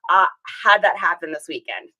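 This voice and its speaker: A woman with a slightly hoarse, rough voice, hosting a casual livestream and chatting upbeat with viewers, greeting them by name. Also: fairly low pitch, expressive, normal speed, sharp tone, low-quality recording. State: hoarse from singing. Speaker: reseller livestream host.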